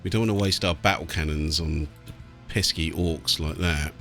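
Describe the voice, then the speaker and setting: Deep-voiced British man doing gaming commentary. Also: steady tone, normal speed, creaky, fairly bright tone. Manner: informal, chatty, thinking aloud. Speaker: gaming channel host. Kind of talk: casual let's play commentary of a strategy game. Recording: game music and battle sounds behind. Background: video game music, artillery and vehicle sounds.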